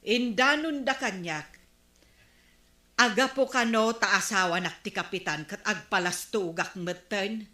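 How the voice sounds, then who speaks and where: A woman's voice: medium pitch, a little slow, bright, forceful tone. An actress playing a hurt, brooding village woman in a Filipino comedy radio drama, with an Ilocano accent. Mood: concerned. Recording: roomy, echoing studio sound.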